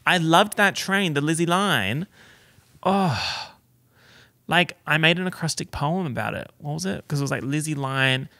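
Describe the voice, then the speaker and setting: Campy gravelly man, podcast host. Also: mid-range voice, bright, forceful tone, no echo. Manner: campy, dramatic, slangy storytelling. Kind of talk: casual solo podcast chat.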